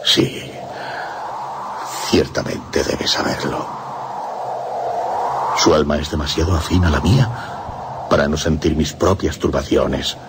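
Deep-voiced, lovesick romantic. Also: male voice, takes it slowly, vocal fry, long pauses, noisy background, heavily acted. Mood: yearning. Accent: Castilian Spanish. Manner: theatrical, fervent. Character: lovesick, troubled man yearning for a courtesan. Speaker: radio drama actor. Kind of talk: radio theatre acting.

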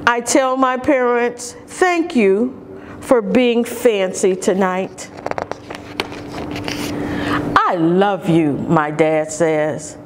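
A middle-aged woman reads a picture book aloud to young children, expressively and deliberately, drawing out key words, recorded indoors from a camera. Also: medium pitch, slight background noise. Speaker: storytime reader for young children. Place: large carpeted indoor lobby or lounge.

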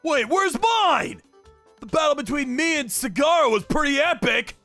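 A man voicing a brash, hot-headed young gangster in an anime cartoon, with a gravelly edge and an excitable, emphatic delivery. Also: extremely high pitch, moderate pace, soft background music, full character voice. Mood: indignant. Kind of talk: anime voice acting.

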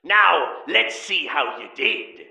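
An actor playing a boisterous pirate fox animatronic hosting a ride, with a bellowing pirate drawl. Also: male voice, a little high, big pitch swings, takes it slowly, vocal fry, bright, forceful tone, distant mic, heavily acted. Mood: rowdy. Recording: roomy game audio from afar.

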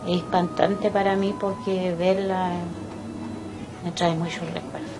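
An older Chilean woman recalling her life slowly and haltingly, pausing often to remember. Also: lowish voice, level tone, music in the background, poor audio. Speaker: interviewee. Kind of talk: personal recollection. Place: indoors, small room by a window.

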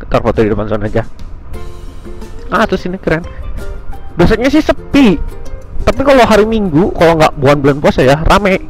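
Guy w/ jakarta accent motovlogging on his bike, slangy n exclamatory, slightly high voice, music under it. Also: very animated, fairly quick, long pauses, low-quality recording. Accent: Jakarta-area Indonesian. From Indonesia, Tangerang near Jakarta. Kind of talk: casual chat to viewers while riding. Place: outdoors on a moving motorbike on a country road.

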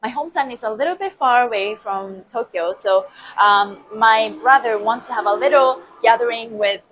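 Woman, Japanese accent, 40s, slightly high voice, chatty livestream talk, lapel mic. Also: normal speed, a bit bright, slight background noise, rough recording. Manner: chatty, laughs often, repeats phrases, gestures with her hands. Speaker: dance and movement coach. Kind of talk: casual livestream talk to viewers. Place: indoor room with plants behind. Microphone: lapel mic clipped to shirt.

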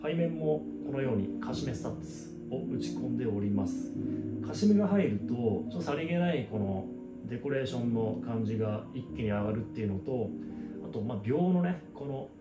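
Craftsman, male, thirties, casual explanatory product presenter, mid-pitched voice, roomy sound over guitar music. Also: expressive, fairly quick. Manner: explanatory, conversational. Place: small indoor room with product shelf.

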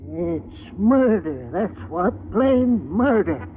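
A man's voice: high-pitched, big pitch swings, extremely slow, full character voice. An actor playing a grim, fretful veteran spaceship crewman in 1950s radio drama style. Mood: grim, bitter. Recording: noisy, narrow-band old radio recording.

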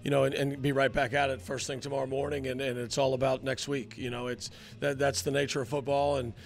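A middle-aged man, a head football coach, answering postgame questions in a halting way with many fillers, into a handheld mic indoors. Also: mid-range voice, level tone, vocal fry. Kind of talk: postgame interview. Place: indoor locker room area. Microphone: handheld mic held by interviewer.

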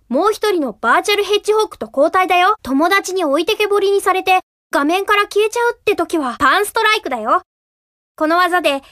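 A woman's voice: extremely high pitch, a bit bright, no echo, studio quality. A woman voicing a cheerful, helpful young boy fox sidekick who gives friendly, reassuring hints in a video game. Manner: friendly, clear step-by-step explaining, reassuring. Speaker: tutorial guide. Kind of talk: scripted video game hint narration.

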